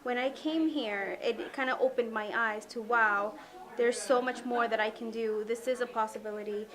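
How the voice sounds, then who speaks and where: A young woman with a fairly high voice speaks in a level, reflective way, her tone staying even and unvaried. She sounds like an interviewee sharing her story. People's voices murmur clearly in the background. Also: normal speed, a crowd in the background, rough recording. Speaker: youth jobs program participant.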